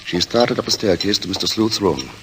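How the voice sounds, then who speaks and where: An actor as the measured storyteller of a suspense tale, reading scripted narration in 1940s radio style. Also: male voice, fairly low pitch. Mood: measured, wry. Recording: noisy old radio recording, steady hiss.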